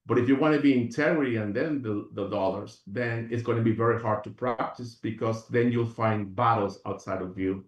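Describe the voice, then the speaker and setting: Guest from Venezuela, earnest rambler with restarts, a bit far off the mic. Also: male voice, mid-range voice, normal speed, hardly any pauses, reverberant, highs cut off. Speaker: guest, functional medicine practitioner. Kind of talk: reflective interview talk.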